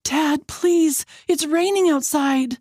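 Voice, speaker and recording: A woman's voice: high-pitched, slow pace, darkish tone, no echo, studio quality, voice pushed up. A woman voicing a frantic, scared young sister in an audiobook, begging protectively.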